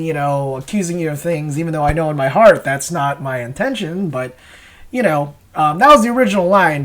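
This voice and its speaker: A man's voice: on the high side, fairly bright tone. A man giving casual, rambling creator commentary in a hesitant, self-questioning way. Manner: hesitant, self-questioning, chuckles while explaining. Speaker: comic creator and host.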